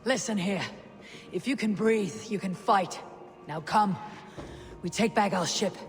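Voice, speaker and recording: An actor playing a proud, stubborn Viking warrior in a video game, terse and defiant with archaic phrasing. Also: male voice, high-pitched, a little slow, faint music behind. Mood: commanding. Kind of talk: video game cutscene acting.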